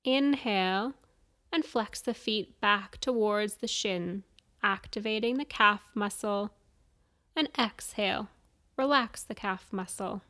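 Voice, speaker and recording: Female meditation guide, unhurried and soothing, clear smooth tone, mid-range pitch, leaves space between instructions. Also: a bit bright. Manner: soothing, measured instructions with pauses. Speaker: yoga nidra guide.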